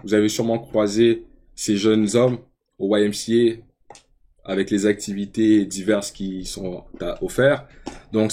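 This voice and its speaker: A young man with a Quebec French accent, commenting casually on a live stream and switching into English slang with animated outbursts. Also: mid-range voice. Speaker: streamer reacting to rap videos.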